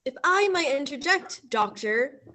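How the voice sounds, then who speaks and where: A woman's voice: high-pitched, expressive, unhurried, pushed and bright, heavily acted. A young female student actor playing a nameless astronomer's assistant in a stage radio play, prim and full of dread. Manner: prim, correcting, doom-laden. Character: nameless female lab assistant, gloomy and ominous.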